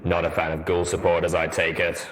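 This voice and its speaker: Man voicing a deep, gruff armoured pony soldier. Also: completely flat delivery, brisk. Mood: curt, stern. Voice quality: deep, masculine voice.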